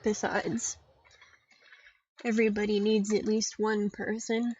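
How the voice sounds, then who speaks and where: A woman game streamer giving casual, halting live commentary in muttered asides. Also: medium pitch, level tone, darkish tone. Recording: dull lo-fi mic, faint noise, clicks.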